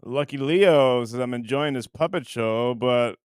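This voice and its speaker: A man's voice: medium pitch, unhurried, clear, resonant tone, no echo, clean studio sound. A man hosting a livestream in casual chat, reading out comments and rambling in a self-deprecating way. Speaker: livestream host.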